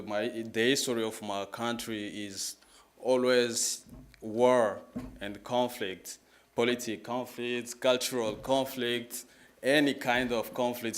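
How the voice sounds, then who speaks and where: Slow-speaking young Congolese man. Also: medium pitch. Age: 20s. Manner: halting, repeats phrases, plain-spoken. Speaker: guest speaker at a church, sharing testimony. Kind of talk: personal testimony in a public talk.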